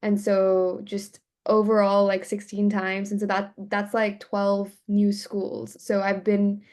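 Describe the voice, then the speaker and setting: A young woman in her early twenties, raised between India and the US, speaks softly. She keeps a fairly flat tone and fills pauses with plenty of fillers. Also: medium pitch. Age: 20s. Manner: soft-spoken, many fillers. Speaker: graduate student guest. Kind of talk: casual conversation.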